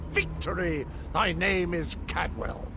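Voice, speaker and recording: An actor playing an eccentric old knight in a video game, jolly, chivalrous and theatrically pompous. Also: male voice, sits high, slow, noisy background. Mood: grandly exultant. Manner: pompous, theatrical, flowery speech. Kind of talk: video game character acting.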